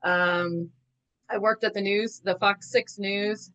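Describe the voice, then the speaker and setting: A woman's voice: mid-range voice, steady tone, slow, fairly bright tone. A woman from the American Midwest, a podcast guest, chatting about her background with many fillers. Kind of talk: casual interview storytelling.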